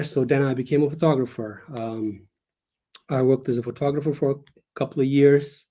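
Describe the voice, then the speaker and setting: A young man speaks over a video call as a guest author. His tone is mellow and dark. He talks in earnest and lays out each point with short pauses. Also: medium pitch. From Turkey. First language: Turkish. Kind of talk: casual interview over a live stream.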